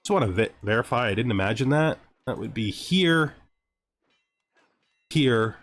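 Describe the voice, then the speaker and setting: A man's voice: medium pitch, lively intonation, unhurried, fairly bright tone, a little hiss or hum. A man commentating casually on a video game he plays, thinking aloud and reading text from the screen. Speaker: gameplay streamer.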